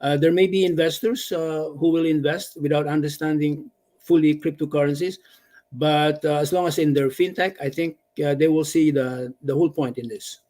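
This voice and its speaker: A man's voice: fairly high pitch, steady tone, moderate pace, warm tone. A man answering investor questions about his startup on a video call, with many fillers. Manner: hesitant, frequent uh fillers.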